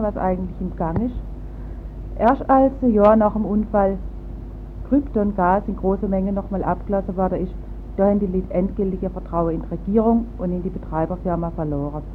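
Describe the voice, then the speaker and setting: Female radio host, Baden Alemannic accent, informal presenting; narrow, muffled recording with faint rumble. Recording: muffled phone-like sound, rumbling noise.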